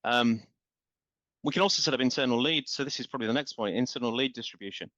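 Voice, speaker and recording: A man's voice: mid-range voice, quick pace, a bit bright, dry recording. Passionate man, dull lo-fi sound. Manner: passionate, talks in long run-on explanations with repeated words. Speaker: guest, marketing company founder. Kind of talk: business podcast interview.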